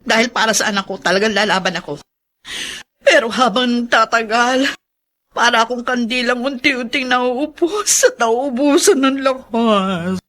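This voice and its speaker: A woman's voice: a little high, a little slow, a bit bright. An actress playing an anguished mother afraid for her only child in a radio drama. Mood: anguished, determined.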